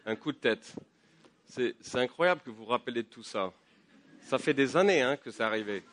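A man preaching a sermon while he has a head cold. He talks conversationally, with many fillers and repeated words, and his voice climbs higher and louder as he builds to a point. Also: animated, normal speed, a little hiss or hum. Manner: rises in pitch and volume when building to a point. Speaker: pastor. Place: church.

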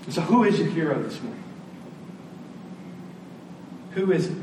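A roomy, slightly noisy recording of a man delivering a sermon. He is a pastor with a high-set voice, earnest and emphatic, repeating his key phrases for weight. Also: a little mellow. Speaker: pastor preaching to his church. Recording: roomy, distant mic, faint noise.

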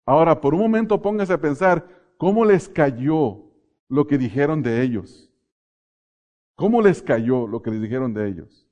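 A middle-aged man from Mexico preaching a sermon, asking the congregation questions, answering them himself and slowing down to stress key phrases. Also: on the high side, expressive, darkish tone, lo-fi sound.

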